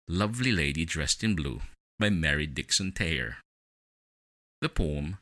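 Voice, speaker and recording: A man with a deep voice narrates as a meditation podcast host. His delivery is scripted and deliberate, with a bright, forceful edge and lively rises and falls in pitch.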